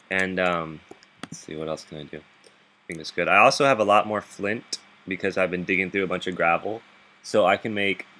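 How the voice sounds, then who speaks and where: A man with a very deep, low voice talks through his game in a loose, rambling way, full of fillers and little chuckles. His tone carries a bright, pushed edge. He speaks into a laptop microphone while sitting up in bed, with a faint hiss behind him. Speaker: gaming video host. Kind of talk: casual gameplay commentary.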